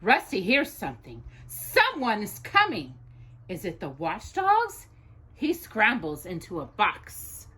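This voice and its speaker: Slow, very animated woman reading a storybook. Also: mid-range voice, breathy edge. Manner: expressive, deliberate reading for a young child. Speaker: storytime host reading a children's picture book. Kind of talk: read-aloud children's storytelling.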